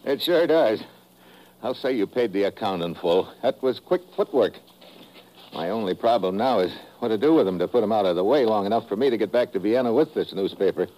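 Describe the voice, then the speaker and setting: An American actor playing a tough, wisecracking secret agent in a 1950s radio drama, sardonic and hard-boiled. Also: male voice, fairly low pitch, expressive, fairly quick, dark tone, close to an everyday voice, rough recording. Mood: wry, satisfied. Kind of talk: 1950s radio drama acting with first-person narration.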